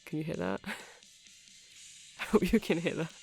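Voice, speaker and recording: A woman's voice: on the low side, takes it slowly, creaky, a little mellow, faint music behind, poor audio. A woman giving a rambling, chatty ASMR demonstration, explaining things step by step. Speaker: ASMR creator.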